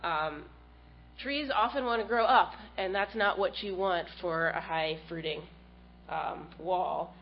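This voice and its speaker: A woman in her thirties presents her plant research at a seminar, sounding a little stuffy from a cold. Her voice sits on the low side, and she pauses on frequent ums as she explains. The lecture recording is muffled and lo-fi, with a faint hum underneath. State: has a cold, slightly stuffy. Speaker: plant scientist giving a research talk.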